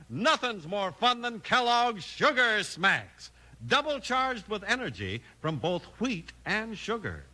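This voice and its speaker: A man's voice: high-pitched, pushed and bright. A man with an American accent delivering an exuberant, emphatic 1950s radio commercial as announcer.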